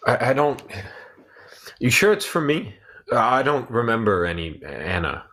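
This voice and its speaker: A man playing a reserved, haunted scientist who survived a wartime camp, speaking haltingly in a sci-fi radio play. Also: mid-range voice, big pitch swings, fairly bright tone. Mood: uneasy, bewildered. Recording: music under the voice.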